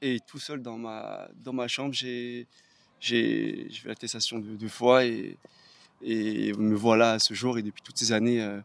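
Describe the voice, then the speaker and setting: A man answering street interview questions, soft-spoken and polite, thanking the interviewer often. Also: lowish voice, little pitch movement, unhurried, creaky, dry recording. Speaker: interviewee, passer-by. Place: outdoors on a street.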